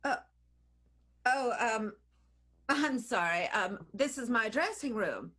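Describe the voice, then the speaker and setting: A woman playing a sly, affected, evil theater owner in an improvised radio play, coy and husky. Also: a little high, animated, slow pace, bright, forceful tone, heavily acted. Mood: coy, smug.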